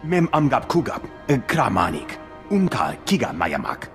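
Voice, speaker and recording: A man's voice: medium pitch, wildly swooping pitch, normal speed, fairly bright tone, soft background music. An actor voicing a male alien engineer in a video game, chattering in an invented alien language. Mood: animated.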